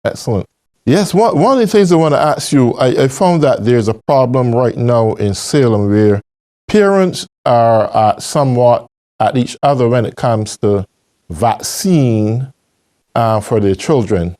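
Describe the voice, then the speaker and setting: A man's voice: medium pitch, animated, measured pace, a little mellow. A man hosting a local interview show, asking questions and giving short affirmations. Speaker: talk show host.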